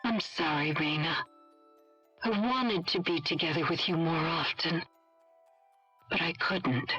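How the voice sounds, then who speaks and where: A grave, earnest mother and lab chief from a video game drama. She speaks slowly and with feeling in a slightly low voice, over music in a dull recording. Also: fairly bright tone, slightly rough, full character voice. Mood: sorrowful. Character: grave, urgent scientist mother, head of a laboratory. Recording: dull lo-fi sound, music underneath.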